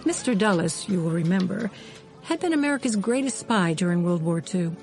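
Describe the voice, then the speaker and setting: A woman's voice: mid-range voice, expressive, fairly quick, lightly acted. An actress playing a composed, wry intelligence insider, narrating her memories in a scripted radio drama. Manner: storytelling, knowing, composed. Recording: music loud behind the voice. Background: loud music.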